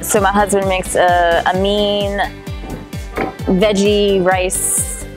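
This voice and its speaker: A woman with a New Jersey accent talks through video tips in a confident, upbeat way. Her voice sits at an ordinary middle pitch. Music plays clearly behind her. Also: flat delivery, slow pace. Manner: confident, advice-giving, upbeat. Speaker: home staging expert and video marketing guest. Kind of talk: casual interview chat. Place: indoors on a couch. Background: background music.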